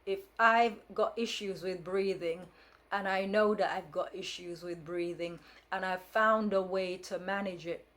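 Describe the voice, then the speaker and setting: A woman's voice: lowish voice, steady tone, a little slow, a bit bright. A woman in her fifties whose first language is Jamaican Patois tells a story to camera in a casual, rambling way, with many fillers and restarts and a firm, frank edge. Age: 50s. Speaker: vlogger.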